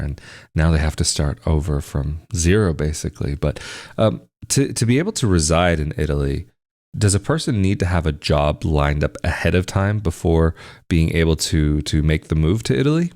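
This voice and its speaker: A man co-hosting a casual talk show, speaking in a halting way with frequent restarts. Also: extremely low pitch, darkish tone. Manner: halting, many fillers and restarts. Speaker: podcast co-host. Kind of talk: casual conversational chat.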